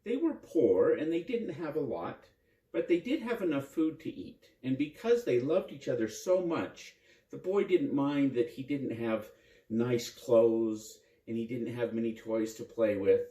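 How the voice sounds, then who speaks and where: A man narrating a children's story in an expressive, simple way, with dramatic repetition. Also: medium pitch, measured pace. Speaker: priest and storyteller. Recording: roomy echo, distant microphone.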